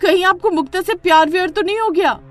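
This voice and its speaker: A woman's voice: extremely high pitch, fast, faint music behind, voice pushed up. A woman voicing a jealous, hot-tempered young wife in a melodramatic story, with emotional outbursts. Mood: jealous, indignant. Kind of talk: melodramatic Hindi moral story acting.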